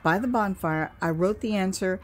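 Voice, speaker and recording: Expressive American woman telling a dramatised memoir. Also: mid-range voice, normal speed, a little hiss or hum. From United States, Houston. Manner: theatrical, expressive delivery. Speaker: author and storyteller.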